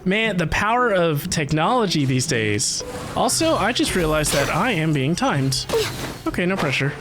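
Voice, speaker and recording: A game streamer giving casual live commentary, excitable and playful, with chatty asides. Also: medium pitch, normal speed, over music. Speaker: livestreamer playing a video game. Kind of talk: casual livestream commentary and chat.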